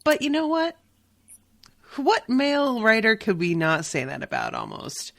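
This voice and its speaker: A woman's voice: on the high side, huge pitch swings, creaky, a bit bright, no echo. Chatty woman podcast host, dry sound. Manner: chatty, swearing, quick asides with laughs. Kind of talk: casual chat.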